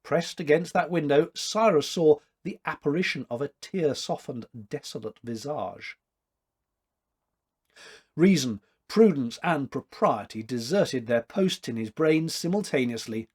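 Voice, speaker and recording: A man in his fifties reading a literary story aloud with expressive narration. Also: fairly high pitch, a little slow, slightly rough. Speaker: story reader. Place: small domestic room indoors.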